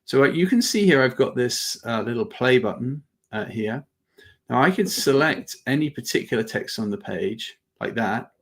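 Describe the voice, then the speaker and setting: A man with a medium-pitched voice hosts a live software demo. He talks informally and explains step by step. His phrasing is halting, often doubling back on words. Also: lively intonation. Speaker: company presenter and webinar host.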